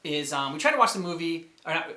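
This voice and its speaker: Halting man in an echoey room. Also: on the high side, sing-song, pushed and bright. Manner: halting, rambling, thinks aloud. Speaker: co-host of a game reaction show. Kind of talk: casual chat, reviewing game cutscenes.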